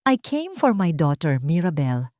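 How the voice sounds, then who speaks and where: A woman's voice: sits low, big pitch swings, unhurried, darkish tone, dry recording. Filipino woman doing an arrogant bossy ex-husband in an audio love drama, contemptuous and threatening, dry recording. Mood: demanding. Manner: authoritative, contemptuous, switching between languages.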